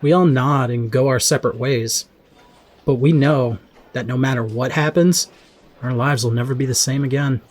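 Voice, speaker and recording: A man's voice: mid-range voice, steady tone, normal speed, natural voice. A man playing an awkward, earnest, hesitant teenage boy in an audio drama. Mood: tense, reflective. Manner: hesitant, apologetic. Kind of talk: first-person audio drama narration and dialogue.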